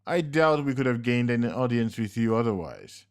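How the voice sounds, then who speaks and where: A man voicing a slickly dressed, coolly polite and menacing henchman, speaking slow, measured and smug. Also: medium pitch, sing-song. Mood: smooth.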